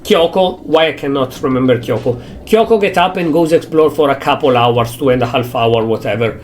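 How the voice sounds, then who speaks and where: A young man with an Italian accent, a native Italian speaker, thinking aloud in English with halting phrasing as he streams a game. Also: mid-range voice, a little mellow, faint music behind. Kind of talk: casual gameplay commentary.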